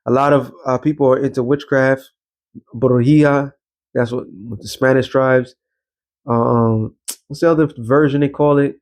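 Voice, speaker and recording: Guy doing a street style bible talk, blunt and sweary, lots of slang, keeps asking questions like a teacher, average pitch. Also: fairly even intonation, normal speed, darkish tone. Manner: rhetorical questions and repeated check-ins like a teacher. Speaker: preacher and show host. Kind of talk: informal street sermon with scripture reading and commentary.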